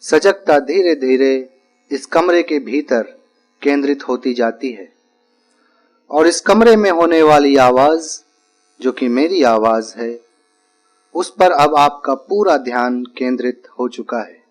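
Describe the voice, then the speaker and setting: A man giving guided relaxation instructions as a yoga nidra teacher, speaking calmly and deliberately in short, repeated phrases with pauses. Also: medium pitch, low-quality recording.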